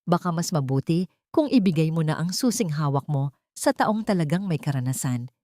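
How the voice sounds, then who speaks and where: A woman's voice: low voice, lively intonation, moderate pace, warm tone, no echo, clean studio sound. A woman voicing a scheming middle-aged man who sounds mockingly sweet in an audio drama story.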